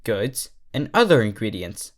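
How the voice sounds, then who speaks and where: A narrator reads a story at a slow, deliberate pace. The pitch moves a great deal, giving the scripted prose an animated, expressive shape. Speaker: fan fiction reader, narrator.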